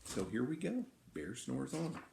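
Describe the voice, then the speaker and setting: A man tells a children's story with a very lively pitch and an unhurried, drawn-out delivery. He is expressive and inviting, like a teacher reading aloud. The room adds noticeable echo. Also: creaky, slight background noise. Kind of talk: animated picture-book storytelling. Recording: roomy, echoing indoor sound.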